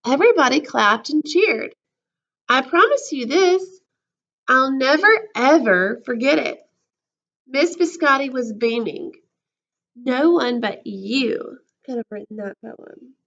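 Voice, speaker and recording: High-voiced woman reading to children, unhurried. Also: lo-fi sound. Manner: animated, acting out the story. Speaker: school counselor reading a picture book aloud. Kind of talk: expressive children's story read-aloud.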